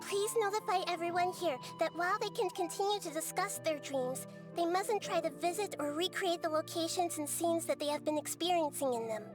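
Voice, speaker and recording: A soft, high child goddess. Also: female voice, brisk, few pauses. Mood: earnest. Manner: calm, soft-spoken, thoughtful. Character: gentle wise young goddess in girl form. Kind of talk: video game voice acting. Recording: game audio with background music.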